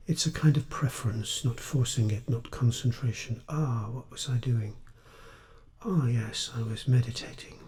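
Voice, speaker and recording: Mellow-voiced man guiding meditation. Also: medium pitch. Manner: gentle, soothing, unhurried delivery with pauses. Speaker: meditation teacher. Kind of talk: guided meditation.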